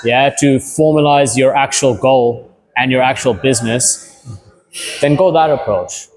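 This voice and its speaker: A man's voice: mid-range voice, measured pace, a little mellow, poor audio. A gym owner from Kenya answering interview questions in a casual, hesitant way, often repeating words as he thinks.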